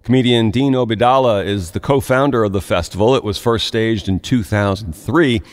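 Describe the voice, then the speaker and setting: A man anchors a local radio newscast, his voice sitting low and even. He reads in a steady, measured way, now and then adding a plain aside. A long reverb trails each line. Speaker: news anchor. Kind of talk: radio news reading.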